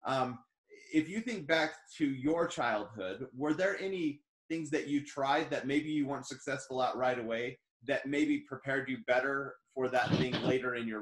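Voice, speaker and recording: A man's voice: mid-range voice, normal speed. A man in his forties hosting an interview over a video call, speaking earnestly and encouragingly. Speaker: host. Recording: echoey room on a video call.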